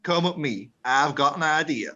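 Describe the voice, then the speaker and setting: A man in an amateur radio drama playing a kindly, gently persuasive ghost of a family patriarch. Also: on the high side, expressive, moderate pace, noisy background, close to an everyday voice. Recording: dull lo-fi sound.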